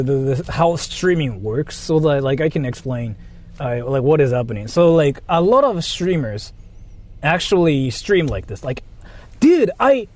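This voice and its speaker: A male streamer talking to his chat in a loose, rambling way, stacking fillers and tossing out jokes. His pitch sits a touch high and swings about a lot. The tone is mellow and dark rather than bright. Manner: rambling, filler-heavy, joking. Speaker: livestreamer reading donations. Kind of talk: casual livestream chat. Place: in Japan.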